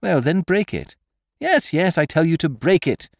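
A cocky gentleman thief, as a male narrator puts him on, brisk and mocking, pitched well above the reader's own voice and thrown loud with lively swoops. The storybook scheme is gleeful and taunting. The sound is close and dry. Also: vocal fry, no echo, full character voice. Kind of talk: audiobook character voice.

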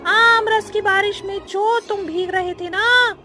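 A woman's voice: very high-pitched, fairly even intonation, takes it slowly, pushed and bright, exaggerated voice. A woman voicing a boastful, taunting, cackling evil witch in a Hindi cartoon horror story. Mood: gloating. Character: gloating evil female witch who loves killing.

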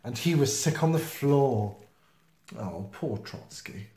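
A man reading a children's picture book aloud in an expressive, playful manner. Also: medium pitch. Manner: expressive, slow, with playful sound effects and asides. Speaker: storytime reader. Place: small indoor room, seen close to the camera. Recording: roomy, echoey home video sound.

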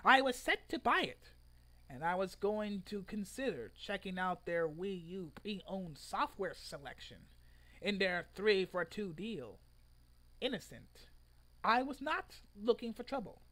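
A young man in his twenties performs a dramatic reading with an exaggerated, theatrical delivery. His pitch moves in lively swings and his tone is bright and forceful. He is recorded in a room at home. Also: a little slow. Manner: theatrical, exaggerated delivery with sudden loud outbursts. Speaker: online video creator. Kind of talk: dramatic reading of a social media post.

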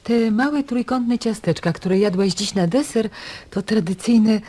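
An actress playing a cautious mother in a radio drama, speaking in a soft, husky, hushed and insistent way. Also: medium pitch, unhurried, close to an everyday voice. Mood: hushed warning. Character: cautious, anxious mother warning her young daughter.

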